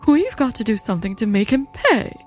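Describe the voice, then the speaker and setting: Actress as middle-aged mother, kindly, indignant, fairly high voice, lively intonation, manga dub. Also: a little fast, soft background music. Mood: appalled, indignant. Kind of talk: dubbed manga drama acting.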